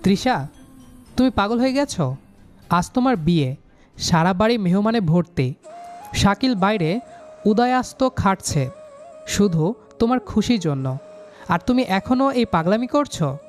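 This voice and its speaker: A man's voice: high voice, sing-song, unhurried, long pauses. A man plays a stern, dutiful young man in a romantic audio drama. He speaks firmly and emotively, with music underneath. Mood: exasperated, scolding. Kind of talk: audio love story acting.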